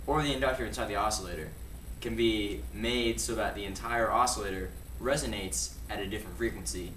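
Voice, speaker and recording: A man's voice: lowish voice, fairly quick, fairly bright tone. A young man giving an explanatory presentation for an educational video. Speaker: student presenter. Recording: echoey room, distant mic, faint noise.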